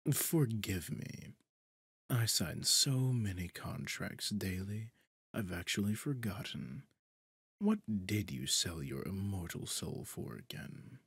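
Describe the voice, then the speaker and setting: A man playing a smug, possessive devil in a roleplay audio drama, suave and pompous, with a breathy, husky delivery that often drops toward a whisper. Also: deep voice, animated, a bit bright, dry recording, exaggerated voice. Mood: condescending. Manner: theatrical, silky, pompous, drawn-out phrasing. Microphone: close, dry studio microphone.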